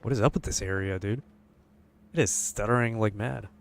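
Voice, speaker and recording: A man's voice: lowish voice, moderate pace, vocal fry, slight background noise. A man streaming a horror game, grumbling casually and swearing, wary of what lies ahead. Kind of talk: casual livestream commentary.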